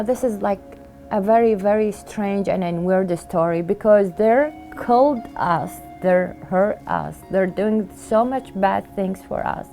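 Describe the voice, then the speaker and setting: A middle-aged woman with an Iraqi Arabic accent telling her own story in an interview, halting, with many fillers and pauses. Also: on the low side, creaky, warm tone. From Iraq. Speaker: refugee sharing her story. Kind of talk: interview testimony.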